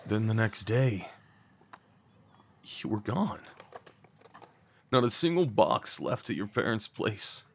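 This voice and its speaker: A man playing a remorseful young former delinquent tenderly confessing old love in an intimate roleplay audio drama, speaking haltingly and earnestly. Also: on the low side, very animated, a bit bright, heavily acted. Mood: regretful, hushed. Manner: halting, confiding, emotionally earnest.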